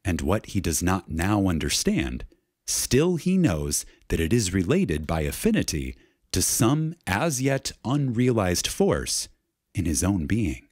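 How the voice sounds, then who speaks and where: A man delivers a spiritual lecture as a solemn, dramatic reading. He takes his time, leaving deliberate pauses and leaning on key words. His husky voice moves expressively up and down, with reverence throughout. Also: low-pitched, very animated, a little mellow, dry recording, studio quality.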